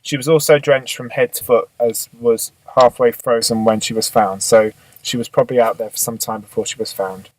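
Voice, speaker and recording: A man playing a businesslike police boss in an amateur radio drama, measured, matter-of-fact and authoritative. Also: mid-range voice, steady tone, close to an everyday voice, low-quality recording. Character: businesslike male police boss briefing his detectives.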